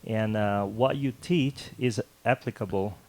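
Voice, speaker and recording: A man's voice: lowish voice, a little slow, darkish tone. A man with a Brazilian accent, hosting the interview in a friendly, playful, affirming way. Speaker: interviewer, host. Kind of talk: conversational interview.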